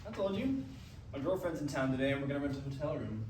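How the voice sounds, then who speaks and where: A man's voice: fairly high pitch, sing-song, quick pace. A man playing a cheerful, cocky and nitpicky college roommate in a staged musical reading, breezy and casual. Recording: echoey hall, distant mic, noisy.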